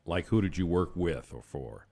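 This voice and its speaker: A man's voice: low-pitched, expressive, moderate pace, a little mellow. An American man casually interviewing a guest as show host.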